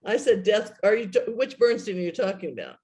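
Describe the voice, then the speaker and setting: A man's voice: sits high, expressive, fairly quick, a little mellow. A man talking casually and informally in a group. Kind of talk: casual chat.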